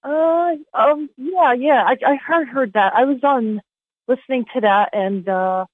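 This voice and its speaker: A woman's voice: sits high, a little slow, dry recording. A middle-aged woman with a slight New Jersey accent, chatting casually and hesitantly as a caller on a phone line. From New Jersey, USA. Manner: hesitant, tentative. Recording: dull, lo-fi phone-call sound.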